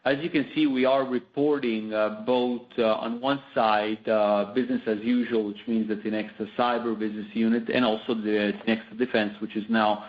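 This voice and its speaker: A man's voice: lowish voice, level tone, brisk, few pauses. A man speaking as a company executive, answering analysts off the cuff in a hesitant, wordy way full of asides. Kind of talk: spontaneous business explanation. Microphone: conference call line. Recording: slightly muffled conference call audio.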